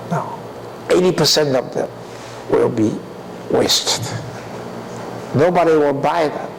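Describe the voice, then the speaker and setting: An older man gives a university lecture in a deliberate way, often asking the class rhetorical questions. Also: a little high, vocal fry, a little hiss or hum, poor audio. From Taiwan.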